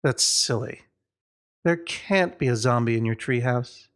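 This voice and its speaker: A man's voice: mid-range voice, unhurried, smooth, lightly acted. Storybook sister, read by a man: smirking young know-it-all, dismissive and scornful. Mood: smug.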